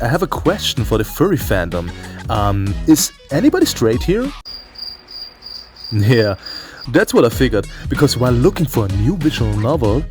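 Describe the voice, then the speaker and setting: Man doing animated, sweary game review over music. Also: mid-range voice. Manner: theatrical, profane, playful. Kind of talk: comedic scripted review commentary. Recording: music behind the voice.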